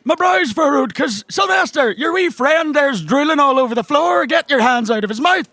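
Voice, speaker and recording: Very high-pitched Northern Irish barman. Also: animated, normal speed, pushed and bright, dry recording, heavily acted. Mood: indignant. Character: chatty male small-town barman, indignant but loyal. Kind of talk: improvised comedy role-play.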